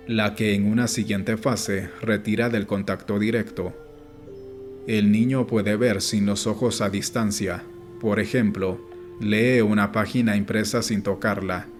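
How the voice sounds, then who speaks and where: A man reading an audiobook in a measured, explanatory way, as scripted narration. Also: fairly low pitch. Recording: music playing behind the voice. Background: background music.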